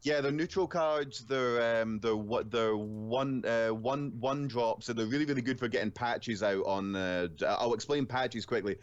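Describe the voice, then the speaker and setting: A man speaking as a guest on a gaming podcast over a remote call, loud and stammering, often repeating his words as he thinks aloud. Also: mid-range voice, moderate pace, hardly any pauses, muffled recording. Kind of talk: casual gaming chat.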